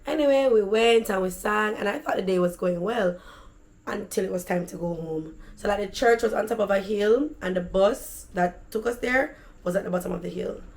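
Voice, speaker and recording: A woman with a Jamaican accent and a deep, raspy voice, telling stories in a chatty, animated vlog. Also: moderate pace. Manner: animated, chatty, slips into patois. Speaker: vlogger. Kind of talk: casual storytelling.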